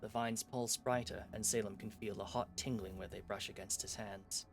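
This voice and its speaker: A man streaming himself reading visual novel narration aloud, quiet and even, correcting himself now and then. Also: fairly low pitch, normal speed, creaky. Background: game music.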